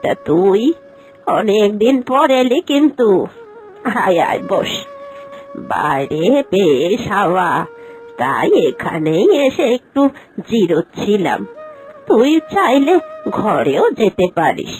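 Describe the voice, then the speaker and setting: A woman's voice: mid-range voice, sing-song, slow, pauses often, darkish tone, faint music behind, poor audio. A woman playing a kindly, practical elderly village aunt in a moral-story cartoon, with a homely, advising manner. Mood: welcoming.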